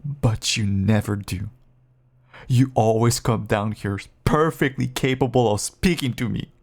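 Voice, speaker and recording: A caged male vampire, acted out by a man, speaks slowly in a raspy, crackling voice. He clings and pleads in an intimate audio drama, swinging between hushed endearments and bitter reproach. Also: on the low side. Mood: hurt, resigned.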